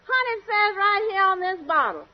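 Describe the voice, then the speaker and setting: An actress playing a jolly, exuberant housemaid with whooping laughter in a 1940s radio comedy. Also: female voice, very high-pitched, very animated, brisk. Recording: dull vintage radio recording, studio audience.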